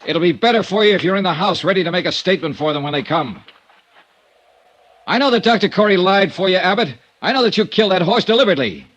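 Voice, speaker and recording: An actor playing a hard-boiled insurance investigator, clipped and firm, in a 1950s radio drama with narration. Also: male voice, sits high, expressive, fast, a bit bright, muffled recording. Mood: urgent.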